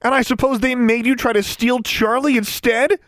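A man's voice: very high voice, fairly even intonation, normal speed, a bit bright, no echo, voice pushed up. A man voicing an outraged, defiant teenage witch girl in an audiobook, exclaiming indignantly and emphatically. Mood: outraged, accusing.

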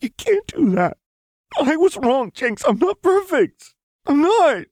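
Casting: tender, guilt-stricken young woman, very high voice, tearful and pleading, audiobook drama. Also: big pitch swings, slow, a little mellow, no room sound, clean studio sound, heavily acted. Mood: anguished pleading. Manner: sobbing, emphatic, pleading. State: crying.

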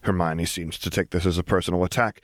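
A man narrates a scripted story. His voice is notably deep. The sound is dry, with no room around it. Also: fairly even intonation, fast talker, no room sound. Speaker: audiobook narrator.

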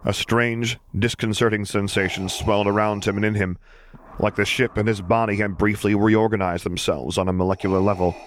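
A man with a low voice narrates an audiobook. His reading is scripted and dramatic, recorded with light noise behind it. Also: fairly even intonation, a little fast. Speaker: audiobook reader.

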